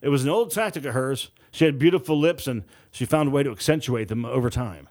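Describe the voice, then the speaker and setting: A man's voice: mid-range voice, animated, quick pace, a little mellow. A man reading a novel aloud as scripted, dramatic audiobook narration.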